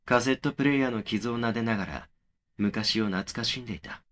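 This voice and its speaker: A man acting as a young male narrator of a horror audio drama, at a medium pitch. He recounts his own eerie memories in a measured, brooding, matter-of-fact way. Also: fairly bright tone, lightly acted.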